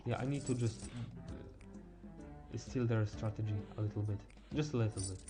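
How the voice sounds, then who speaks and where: Low-voiced teenage guy chatting on stream. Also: music in the background. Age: teens. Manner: halting, stammering over words, thinking aloud. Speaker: game streamer. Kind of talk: casual live game stream chat.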